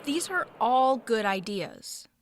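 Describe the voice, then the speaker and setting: A woman's voice: a little high, very animated, slow, heavy background noise. An actress playing an upbeat, take-charge girl who leads a club in a children's audio story.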